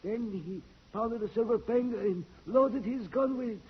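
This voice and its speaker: Superstitious old countryman for radio horror: high-pitched, husky, emphatic storyteller, muffled vintage sound. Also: normal speed. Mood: eerie storytelling. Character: superstitious old game warden, a village character. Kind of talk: old-time radio drama acting.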